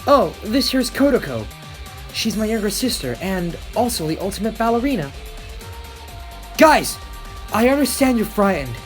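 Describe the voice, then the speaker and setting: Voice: medium pitch, very animated, normal speed, pauses often, soft background music, lightly acted. A person voicing an earnest, protective teenage boy animator in anime game voice lines, speaking emphatically. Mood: earnest, urgent. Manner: emphatic, dramatic delivery.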